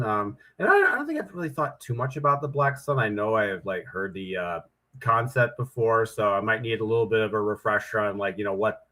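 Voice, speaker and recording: A man's voice: mid-range voice, a bit bright. A male guest on a casual chat, laid-back and hesitant, speaking with lots of fillers.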